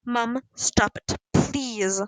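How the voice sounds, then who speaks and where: A woman's voice: a little high, extremely slow, dry recording. A woman voicing an emotional, defiant schoolgirl witch in a storybook, speaking in tearful outbursts. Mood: pleading, upset.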